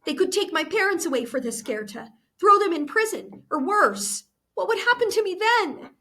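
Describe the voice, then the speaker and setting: A woman's voice: extremely high pitch, big pitch swings, moderate pace, sharp tone, voice pushed up, heavily acted. A woman voicing an angry, hurt twelve-year-old girl in a book read-aloud, accusing and emphatic. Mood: anguished.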